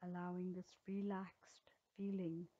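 Woman, guided meditation, slow soothing delivery, husky low voice. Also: flat delivery, phone-quality sound. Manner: slow, soothing, gentle pauses. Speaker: yoga teacher.

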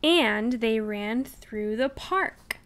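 A woman with a high, bright, very clear voice reads a children's story. She narrates extremely slowly and expressively, shaping each phrase with care. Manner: deliberate, expressive enunciation. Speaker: storybook reader. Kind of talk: children's read-aloud narration.

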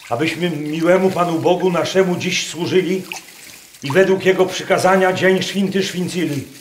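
An actor playing a troubled, pious peasant preacher in a radio play, with a dialect and folksy declamation. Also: male voice, fairly high pitch, moderate pace, close to an everyday voice. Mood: fervent preaching. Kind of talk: dialect radio drama acting. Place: wooden barn performance space. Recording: roomy barn, noisy with water clicks.

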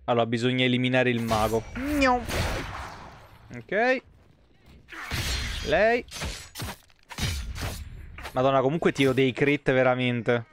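A man's voice: medium pitch, big pitch swings, slow pace, long pauses, background noise. A man, giving blunt, wry, sweary live commentary as he streams a video game. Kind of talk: casual live gameplay commentary.

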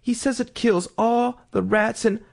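A woman voicing a polite, eager little girl in a storybook, earnest and quick to apologise. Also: medium pitch, lively intonation, creaky, voice pushed up. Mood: eager chatter.

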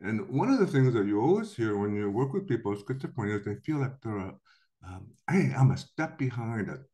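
A middle-aged man from Korea giving a recorded slide lecture over a webcam, explaining with lively delivery and acted-out quotes. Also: on the low side, a little fast.

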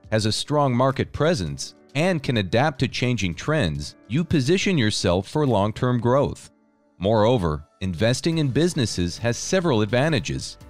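A man reading a scripted narration in a smooth, evenly paced way. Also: medium pitch. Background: soft background music.

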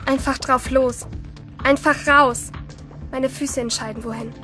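A woman's voice: high voice, fairly even intonation, measured pace, pushed and bright, faint music behind. A woman playing a rebellious, lonely teenage girl who narrates her thoughts intimately in a German radio play. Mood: shaken.

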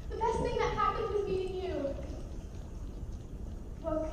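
Very high young woman acting, distant echoey hall. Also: measured pace. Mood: tender, earnest. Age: young adult. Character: gentle, devoted young woman in love. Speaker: stage actress. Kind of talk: amateur stage drama acting. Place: large theater stage, indoors. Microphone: far audience camera. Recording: very echoey, noisy hall from far off.

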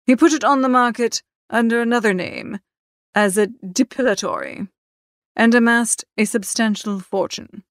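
A woman reading the part of a polished, deferential gentleman's manservant in a formal, measured manner for an audiobook. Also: on the high side, fairly even intonation, no room sound, studio quality, lightly acted. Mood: measured, composed.

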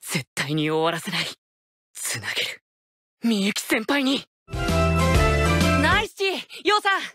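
A man voicing a determined, quietly sharp-tongued teenage athlete boy, earnest and intense, in game clips. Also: sits high, huge pitch swings, a little slow, exaggerated voice. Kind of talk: anime game character voice clips. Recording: loud game music underneath.

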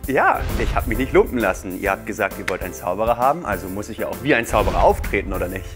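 A man's voice: fairly low pitch, lively intonation, fast talker, over music. A man in his thirties speaking casually and playfully as a magician showing tricks to children. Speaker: magician, show guest. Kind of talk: casual demonstration patter for children. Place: small furnished TV studio set, indoors.

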